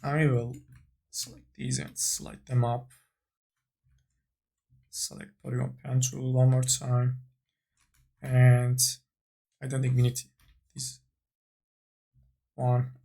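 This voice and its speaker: Slow, husky man teaching software. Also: medium pitch, monotone. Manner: tentative, thinking aloud while working. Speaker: 3D modeling tutorial instructor. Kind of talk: unscripted software tutorial narration.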